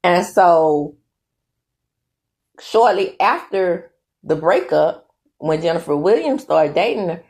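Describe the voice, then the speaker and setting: A woman's voice: on the low side, animated, takes it slowly, pauses often, a little mellow. A woman hosting her own commentary channel, giving her opinions in a chatty, emphatic way and tagging her points with "okay?".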